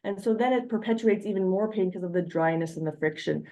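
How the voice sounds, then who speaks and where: A woman's voice: medium pitch, quick pace, warm tone, low-quality recording. A woman physician with a Canadian accent giving a frank, reassuring slide talk on health. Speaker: physician presenter. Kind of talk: educational slide presentation.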